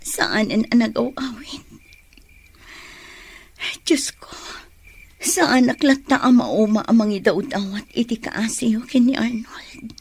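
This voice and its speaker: An actress with an Ilocano accent playing an anxious, devoted wife in an emotional radio drama. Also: female voice, fairly high pitch, slow, pauses often, a little mellow, husky, full character voice. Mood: worried, hushed. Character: anxious, devoted wife praying for her wayward husband.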